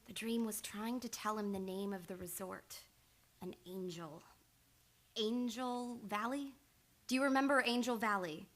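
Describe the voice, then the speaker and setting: A woman's voice: mid-range voice, unhurried, natural voice. A woman playing an earnest, insistent psychoanalyst in old time radio drama, urgent and probing.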